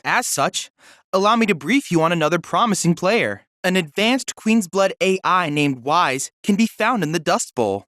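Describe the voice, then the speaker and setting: A man's voice: high-pitched, a bit bright, no room sound, clean studio sound. A man voicing a polite, eager boy android researcher in a video game, speaking in formal, articulate, bookish sentences. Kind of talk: scripted video game character dialogue.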